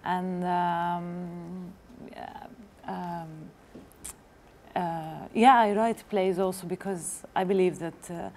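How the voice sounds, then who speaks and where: A woman from Palestine speaks slowly and hesitantly, pausing often on fillers between short phrases. Her voice is low with a gravelly fry, and a faint hiss of noise sits behind it. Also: darkish tone. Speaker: playwright. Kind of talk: panel introduction.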